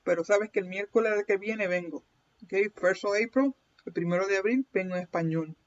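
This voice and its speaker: A woman's voice: mid-range voice, fast talker, a little hiss or hum. A woman with a Puerto Rican accent, hosting a live card reading in a chatty way and often checking in with her viewers. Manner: chatty, keeps checking in with "okay?", laughs often. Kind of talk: casual livestream chat and reading. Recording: dull lo-fi stream audio.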